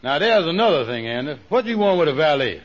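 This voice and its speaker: An actor playing a sly, meddling, sarcastic schemer with a mock-polite, needling manner in a vintage radio comedy. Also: male voice, on the high side, big pitch swings, fast talker. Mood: skeptical. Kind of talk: 1940s radio comedy acting. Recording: dull vintage radio recording.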